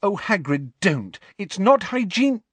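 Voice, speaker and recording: A keen, bookish teenage girl witch, put on by a male reader, pitched very high with an excited, prim lilt. The sound is dry and close. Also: a little slow, breathy edge, no room sound, full character voice. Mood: eager.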